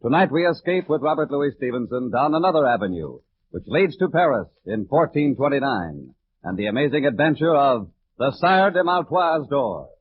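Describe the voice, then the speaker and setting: Animated vintage radio announcer man, tinny sound. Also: medium pitch, moderate pace. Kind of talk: dramatic scripted 1940s radio announcing. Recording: tinny old radio broadcast.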